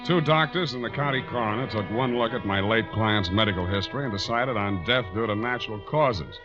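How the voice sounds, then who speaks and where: Man acting a low-voiced hard-boiled American private eye. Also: a little fast, close to an everyday voice. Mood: dry, wisecracking. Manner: dry, wisecracking, deadpan. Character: wry, hard-boiled male private detective. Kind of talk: 1940s radio detective acting and narration. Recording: muffled old radio broadcast with music.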